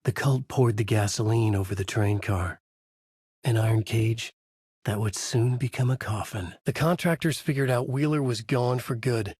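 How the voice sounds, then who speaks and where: An actor playing a brooding, haunted male novelist who narrates his dark horror thriller in a measured, grave, husky voice for a video game. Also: mid-range voice, no room sound, clean studio sound, lightly acted. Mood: ominous. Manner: measured, grave, dramatic delivery. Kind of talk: scripted noir horror narration.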